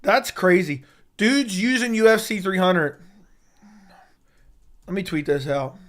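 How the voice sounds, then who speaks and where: Hoarse, excitable man on a livestream. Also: high-pitched, takes it slowly. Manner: excitable, profane, reacting to news live. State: losing his voice. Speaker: MMA YouTube host. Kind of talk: casual livestream chat.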